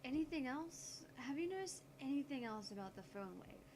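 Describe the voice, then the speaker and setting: Snippy young genius scientist acted by a woman, higher-pitched, analytical, visual-novel dialogue over clear background noise. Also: expressive, normal speed, a little mellow. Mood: probing, impatient. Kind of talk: visual novel character dialogue read aloud.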